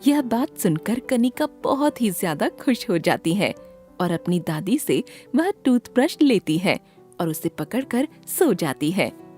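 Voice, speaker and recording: A woman's voice: mid-range voice, very animated, unhurried, warm tone, soft background music. A woman narrating a scripted children's story as the storyteller.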